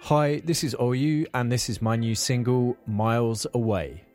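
A man with a British accent reading a smooth promotional introduction to his new single. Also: medium pitch, a little slow, a little mellow, soft background music. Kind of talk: promo voiceover.

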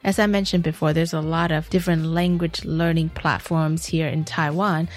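A woman's voice: low voice, little pitch movement, normal speed, soft background music. Friendly woman host asking questions. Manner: warm backchannels, frequent "mm-hmm", friendly questions. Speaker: podcast host. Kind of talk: casual interview chat.

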